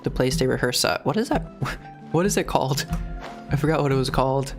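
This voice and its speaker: Halting male game streamer, medium pitch. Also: expressive, soft background music. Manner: halting, rambling, searching for words. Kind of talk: casual live game reaction commentary.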